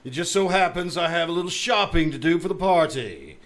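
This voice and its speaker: Guy doing a pompous flustered school principal, old radio comedy, highish animated voice, noisy live recording. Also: a little fast. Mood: huffy. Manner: blustering, hemming and hawing. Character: pompous middle-aged male school principal, flustered and blustering.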